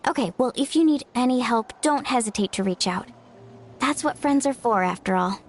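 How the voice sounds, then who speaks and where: Woman voicing a high, lively young adventurer girl. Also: moderate pace, slight background noise, close to an everyday voice. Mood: friendly, helpful. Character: eager, kind-hearted young girl adventurer, chatty and helpful. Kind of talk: video game voice acting.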